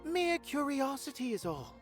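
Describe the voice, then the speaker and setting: A woman voicing an aloof, condescending art philosopher in a video game, speaking in a pompous, measured manner. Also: high-pitched, sing-song, soft background music.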